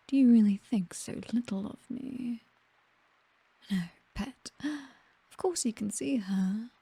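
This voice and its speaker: A woman with a British accent playing a possessive, teasing vampire mistress in an intimate ASMR roleplay, slow and breathy, mostly whispered, softly commanding and seductive, close on the microphone. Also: medium pitch, no echo. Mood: condescending reassurance. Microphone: close microphone, dry.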